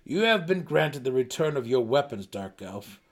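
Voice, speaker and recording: A man voicing a stout, proud male deep gnome in a fantasy audiobook, gruff and booming, with formal, fierce speech. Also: big pitch swings, moderate pace, close to an everyday voice. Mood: firm, proud.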